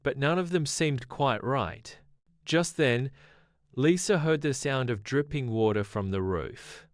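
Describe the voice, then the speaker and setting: Slow, gentle bedtime storyteller man. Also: medium pitch, expressive, dry recording, clean studio sound. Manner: gentle, measured. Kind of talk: scripted bedtime story narration.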